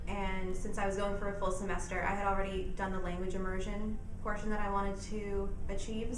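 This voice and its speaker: A young woman from New York, a college student who grew up speaking Russian, describes her study abroad in an earnest, reflective interview. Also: mid-range voice. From New York, USA. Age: young adult. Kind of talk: interview testimonial. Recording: roomy, distant mic, music underneath.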